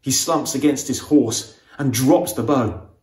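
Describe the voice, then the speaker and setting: A man reading a novel aloud as its author, with expressive, suspenseful storytelling in a dramatic audiobook style. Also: medium pitch, measured pace, reverberant.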